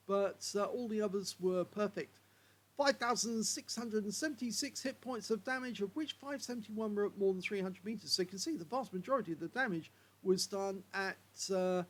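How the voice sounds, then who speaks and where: A hoarse man commentating on game replays in a chatty way, filling pauses with uh and um. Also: high-pitched.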